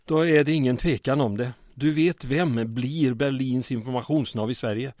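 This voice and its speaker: An actor playing a small-town mayor under occupation in an audio drama, earnest but wry and weary. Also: male voice, mid-range voice, darkish tone, dry recording, studio quality, lightly acted.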